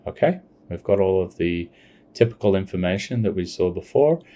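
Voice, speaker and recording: Brief: man, low mellow voice, explains a software demo step by step, dull lo-fi mic. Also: animated. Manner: plain step-by-step explaining, unhurried. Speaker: software demonstrator. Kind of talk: screencast product walkthrough. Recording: dull lo-fi recording.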